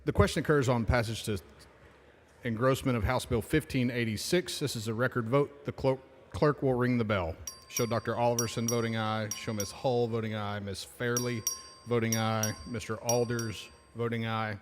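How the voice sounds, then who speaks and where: A man runs a legislative floor session, calling out votes and bills in brisk, formulaic phrases. The sound has a long reverb from a large chamber, with faint noise underneath. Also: mid-range voice, steady tone. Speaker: presiding speaker. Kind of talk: formal procedural calls.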